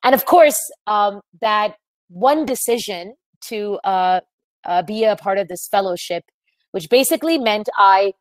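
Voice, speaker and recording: A woman's voice: medium pitch, animated, unhurried, no echo. American woman telling her story. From United States. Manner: earnest, reflective storytelling with frequent fillers. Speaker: webinar speaker, entrepreneur. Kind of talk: presenting a webinar talk.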